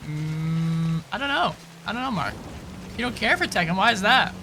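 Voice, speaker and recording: A man giving casual live gameplay commentary and chatting informally with viewers, halting and repeating himself at times. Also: sits high, animated, background noise. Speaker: game streamer.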